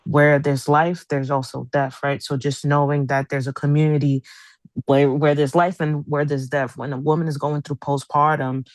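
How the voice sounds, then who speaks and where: A woman's voice: low voice, little pitch movement, moderate pace, no room sound. Woman from honduras, earnest, restarts a lot, dry sound. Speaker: panel speaker. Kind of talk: online panel discussion.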